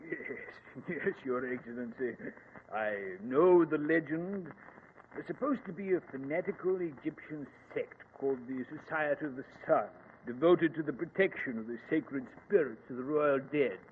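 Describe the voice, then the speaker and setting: Male actor as confident, scoffing gentleman explorer, vintage radio drama, reverberant with faint hiss. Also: a little high, lightly acted. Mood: scoffing, assured. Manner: brisk, scoffing, self-assured. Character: confident, dismissive English gentleman archaeologist. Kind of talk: old-time radio drama acting. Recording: muffled, reverberant, hissy old radio recording.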